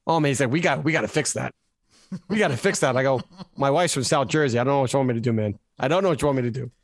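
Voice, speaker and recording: A man's voice: mid-range voice, racing, no room sound, studio quality. An American man co-hosting a casual sports podcast, telling stories animatedly and acting out what people said. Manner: animated storyteller, acts out quotes. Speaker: podcast co-host.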